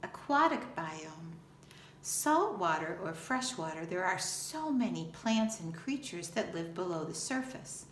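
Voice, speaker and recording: A woman reads aloud for children like a schoolteacher. Her delivery is unhurried, deliberate and expressive. The room adds noticeable echo, with a faint layer of noise behind her. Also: fairly low pitch. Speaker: school teacher reading aloud. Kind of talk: children's picture book read-aloud.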